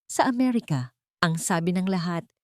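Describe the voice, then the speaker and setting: A woman narrating a scripted audiobook story in a deliberate, dramatic way. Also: on the low side, expressive, a little mellow, no room sound, studio quality.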